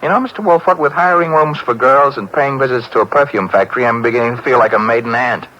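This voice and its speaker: An actor playing a wisecracking young assistant detective in a 1940s radio drama, talking in a breezy, wry way. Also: male voice, mid-range voice, quick pace, lightly acted. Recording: dull lo-fi old radio recording.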